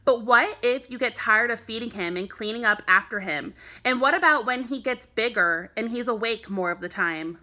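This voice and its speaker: A woman reading a children's storybook as a warm, encouraging mother, gentle and affectionate. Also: on the high side, moderate pace, few pauses. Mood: gentle, serious. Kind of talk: children's storybook read-aloud.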